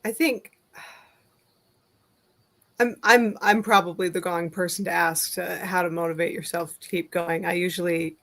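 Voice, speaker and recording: A woman's voice: fairly low pitch, steady tone, normal speed, pauses often, fairly bright tone. A woman chatting casually as an interview guest, hesitant as she thinks aloud. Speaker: interview guest, writer and artist. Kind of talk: casual chat.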